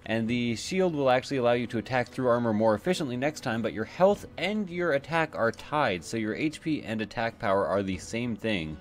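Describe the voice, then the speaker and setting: A man's voice: mid-range voice, expressive, fairly quick, few pauses. A man giving casual let's play commentary, explaining the game and thinking aloud as he goes. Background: game music.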